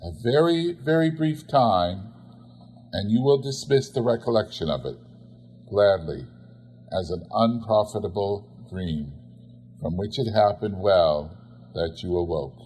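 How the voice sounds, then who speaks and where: A man voicing a solemn young woman in a storybook, speaking in a measured, formal, sorrowful way. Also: lowish voice, animated, a little mellow, slight background noise. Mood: sad, resigned. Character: solemn young woman releasing her lost love.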